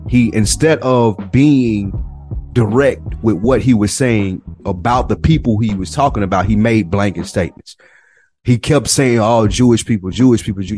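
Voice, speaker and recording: A man with an American accent and a fairly low voice holds forth in a casual podcast discussion. He is long-winded and emphatic, often repeating a phrase for weight. Music plays behind him. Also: a little mellow. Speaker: podcast co-host.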